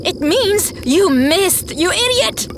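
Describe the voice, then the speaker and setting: A woman's voice: very high voice, big pitch swings, takes it slowly, exaggerated voice. A woman playing a fiery, hot-tempered, blunt and outspoken heroine in an audio drama. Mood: furious. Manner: forceful, blunt, exasperated. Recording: clear noise behind the voice.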